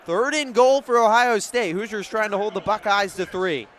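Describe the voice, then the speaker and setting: A man doing live radio play-by-play, moving between measured setup and urgent calls. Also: high-pitched, fairly bright tone. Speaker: play-by-play sports announcer. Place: outdoor stadium broadcast position. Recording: background noise of an outdoor stadium.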